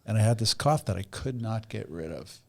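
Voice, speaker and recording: Male podcast guest, moderately low register, colloquial and plain-spoken, recounting personal experience. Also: expressive, a little fast, gravelly. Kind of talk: casual personal storytelling.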